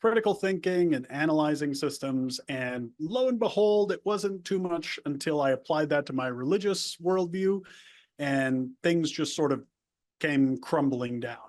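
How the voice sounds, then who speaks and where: Man with a slightly high voice, rambling. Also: expressive, unhurried, darkish tone. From United States, El Paso border area. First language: Spanish. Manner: rambling personal storytelling with frequent restarts. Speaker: guest. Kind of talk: casual interview conversation.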